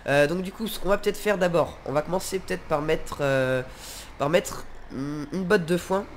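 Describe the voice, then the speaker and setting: A man's voice: on the high side, normal speed, slight background noise. A man with a nasal, stuffed-up voice from a head cold, giving casual, hesitant let's play commentary as he thinks aloud. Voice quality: congested, nasal. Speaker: gaming video host.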